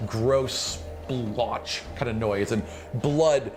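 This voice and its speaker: A man improvising colloquial, animated narration as game master of a tabletop fantasy game. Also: medium pitch, drawn-out words, soft background music. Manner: animated, graphic, colloquial storytelling. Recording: noisy room recording. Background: clearly audible background noise.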